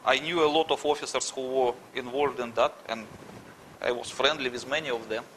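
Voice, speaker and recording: A middle-aged man with a Russian accent answers questions in a halting, word-searching way. His voice is bright and forward. A faint background ambience sits under the recording. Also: medium pitch, fairly even intonation, a little hiss or hum. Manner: halting, searches for words, frequent restarts. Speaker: interviewee. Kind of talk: conversational interview answers.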